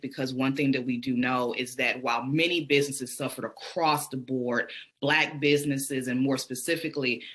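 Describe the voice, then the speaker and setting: A woman's voice: rumbling low, sharp tone. A woman with a Southern American accent, a podcaster and educator telling her story as a guest in a casual online interview. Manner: enthusiastic, storytelling, self-reflective. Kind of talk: conversational interview answers.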